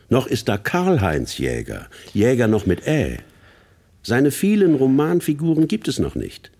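An actor playing a narrator in a radio play, speaking in a deliberate, literary manner. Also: male voice, mid-range voice, darkish tone, full character voice. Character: measured male narrator, correcting and introducing a rogue writer. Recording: studio radio play with guitar music.

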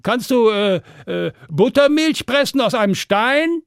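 A man's voice: extremely high pitch, lively intonation, unhurried, gravelly, dry recording, clean studio sound, voice pushed up, full character voice. A man playing a crafty peasant who bluffs boldly in a fairy tale, firm and challenging.

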